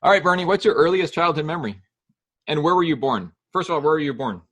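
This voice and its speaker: A middle-aged man hosting a casual interview podcast, upbeat and quick with his questions, laughing easily. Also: a little high, a bit bright. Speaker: podcast host.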